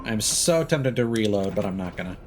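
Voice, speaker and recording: A man's voice: on the low side, animated, brisk, music in the background. A man doing casual live gameplay commentary, muttering to himself in short reactions over game sound. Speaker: video game streamer.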